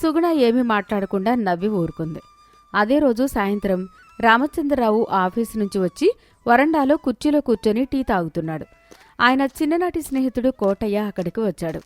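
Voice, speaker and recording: Casting: female storyteller, fairly high voice, scripted narration, close dry sound with faint music. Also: expressive, normal speed, dry recording.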